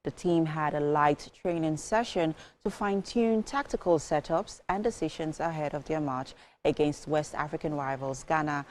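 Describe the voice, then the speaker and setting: A Nigerian woman's low voice at the breakfast-television news desk, reading out the sports update over faint noise. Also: darkish tone. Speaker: news presenter. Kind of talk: scripted sports news bulletin.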